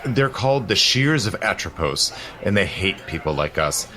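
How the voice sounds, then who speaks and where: A man's voice: deep voice, sing-song, normal speed, pushed and bright, faint music behind, full character voice. An actor playing a grave, urgent male protector in an audio drama, earnest and matter-of-fact. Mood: grave explaining.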